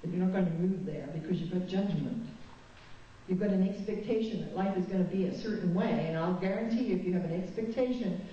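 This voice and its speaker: A woman's voice: lowish voice, a little fast, dark tone, distant mic. A woman from New Zealand, a guest speaker at a spiritualist chapel, giving a spiritual talk with candid, self-mocking personal stories. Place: chapel hall. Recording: echoey dull hall recording from afar.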